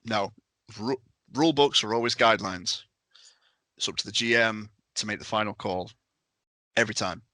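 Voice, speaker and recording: A man with a slightly low, bright and forceful voice in a casual podcast conversation. He stresses his points and repeats them, acting out examples as he goes. The sound is very dry. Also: normal speed, long pauses, dry recording. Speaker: game master and podcast guest.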